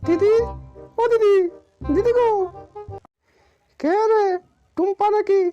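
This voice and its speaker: Squeaky bossy cartoon wife. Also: female voice, takes it slowly, mellow, highs cut off. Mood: alarmed, scolding. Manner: snappy, nagging, repeats commands. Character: sharp-tongued bossy village wife, scolding and suspicious. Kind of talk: comic Bengali cartoon dialogue. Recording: music clearly behind the voice.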